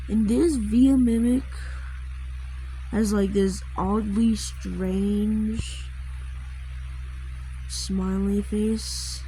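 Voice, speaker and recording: A woman giving casual commentary while drawing, rambling and halting as the creator explains a creature design, with trailing asides. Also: mid-range voice, mellow, a little hiss or hum, low-quality recording.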